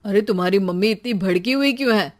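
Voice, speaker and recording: A woman's voice: medium pitch, quick pace, lightly acted. A woman plays a put-upon cartoon dad. He talks in a wry, bantering way, teasing his family. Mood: indignant. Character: teasing, put-upon husband and father working from home. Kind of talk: Hindi cartoon story dialogue.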